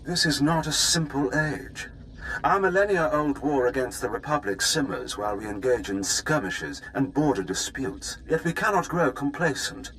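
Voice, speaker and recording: An actor playing a haughty, ruthless Imperial fleet commander in a video game, pompous and deliberate. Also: male voice, mid-range voice, expressive, a bit bright, a little hiss or hum, natural voice, rough recording. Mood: haughty, commanding. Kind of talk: video game villain acting.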